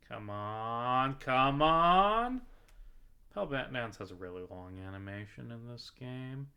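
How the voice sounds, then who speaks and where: A man's voice: lowish voice, bright, forceful tone, clear, resonant tone. A man giving casual video game commentary, rambling and thinking aloud with the odd swear word.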